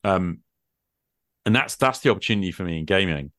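Low-voiced man, musing in casual conversation. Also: lively intonation, a little fast, fairly bright tone, no room sound, clean studio sound. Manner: musing, hesitant phrasing with rhetorical questions. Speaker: podcast speaker, tabletop gamer.

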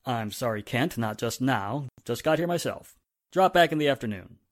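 Animated, businesslike police inspector played by a man. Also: medium pitch, normal speed, lightly acted. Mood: firm. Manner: businesslike, confident. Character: brisk, affable male police inspector, in charge. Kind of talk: 1940s radio drama acting.

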